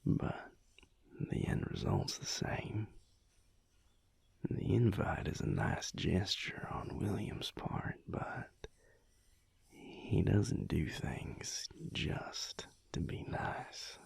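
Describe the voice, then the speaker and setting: A man playing a surly but affectionate vampire cowboy lover in an intimate audio roleplay, with a Southern US accent and a slow, wry, breathy, gravelly murmur. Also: sits low, big pitch swings, no echo, exaggerated voice. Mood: relaxed, sardonic. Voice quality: breathy, gravelly, half-whispered.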